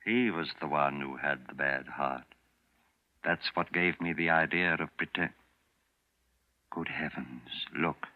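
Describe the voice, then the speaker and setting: An actor playing a composed, shrewd wealthy man in a 1940s radio mystery, speaking in a measured, knowing, dramatic way. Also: extremely low pitch. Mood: grave, ominous. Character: composed, shrewd wealthy man exposing his would-be murderer. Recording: dull vintage radio recording.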